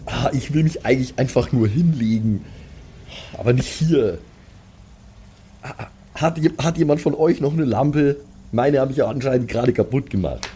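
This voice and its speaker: A man's voice: medium pitch, moderate pace, dark tone, slight background noise, lightly acted. A man playing a weary, grumbling young adventurer in an improvised tabletop audio play. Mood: whiny, weary.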